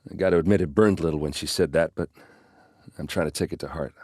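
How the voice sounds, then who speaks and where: A man voicing a gruff, hard-drinking warrior captain in a video game, loyal and brash, with a blunt, wry, informal way of talking. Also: very deep voice, fast talker, a little mellow, no echo, clean studio sound, heavily acted. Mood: grave, subdued. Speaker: game character voice actor. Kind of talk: video game dialogue and combat lines.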